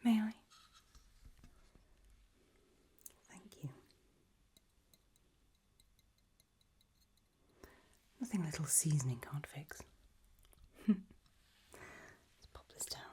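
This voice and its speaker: An elegant, macabre gothic matriarch, played by a woman, receives a guest in an ASMR roleplay. Her whisper is very breathy and mellow, with little edge. She takes her time, languid and gracious in every phrase. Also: sits low, animated, slow pace, long pauses, full character voice. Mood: gracious, intrigued. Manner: languid, gracious, soft-spoken.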